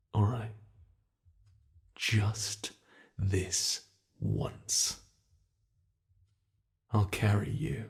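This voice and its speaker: Man playing a slow, gravelly, taunting ancient monster. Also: on the low side. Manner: theatrical, taunting, savoring phrases. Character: predatory centuries-old male monster, gleefully menacing, possessive, haughty. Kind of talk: ASMR roleplay acting.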